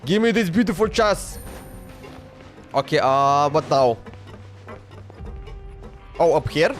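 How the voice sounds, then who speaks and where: A man's voice: a little high, lively intonation, slow. A man livestreaming a video game, thinking aloud in casual commentary and often repeating himself, talking to the game and his chat. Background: game music.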